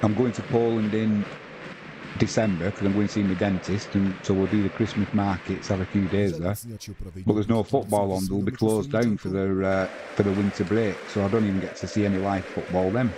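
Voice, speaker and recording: A man from England giving relaxed play-by-play of a football match and chatting with viewers on a live stream. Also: lowish voice, brisk, noisy background. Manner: conversational play-by-play, reading out viewer comments. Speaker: watch-along commentator.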